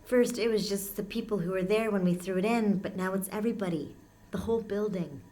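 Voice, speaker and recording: An actress playing a casual, guarded tenant in a naturalistic scripted podcast drama, speaking tersely and matter-of-factly. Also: female voice, medium pitch, brisk, dark tone, lightly acted. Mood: earnest, haunted. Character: casual, guarded woman tenant devoted to a mysterious hole.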